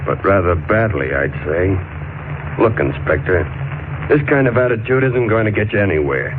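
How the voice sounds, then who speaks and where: Cool, low-voiced, haughty sea captain. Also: male voice, animated. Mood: disdainful. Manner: formal, measured, disdainful. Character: cool, haughty, commanding male ship captain. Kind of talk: 1940s radio detective drama acting. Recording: noisy, tinny 1940s radio broadcast.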